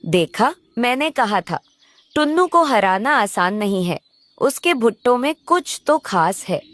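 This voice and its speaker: Woman as a high-voiced, grumbling crow wife. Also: very animated, a little slow, fairly bright tone. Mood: doubting. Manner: complaining, skeptical. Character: discontented, doubting crow wife. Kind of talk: Hindi kids' cartoon dialogue.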